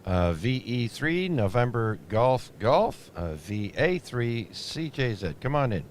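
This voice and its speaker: A man acting as net controller on an amateur radio net, talking in a relaxed, halting way with many fillers. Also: medium pitch. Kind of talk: ham radio net chatter. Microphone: radio transceiver mic. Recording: noisy shortwave radio audio.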